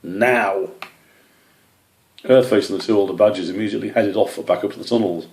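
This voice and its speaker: A man's voice: fairly low pitch, fairly even intonation, racing, dark tone, reverberant. A man reading a scripted children's animal story as its narrator. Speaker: author or story reader.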